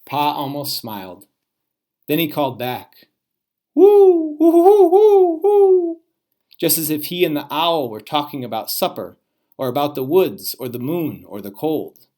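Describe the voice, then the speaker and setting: A man's voice: medium pitch, animated, moderate pace. Man reading a children's storybook aloud.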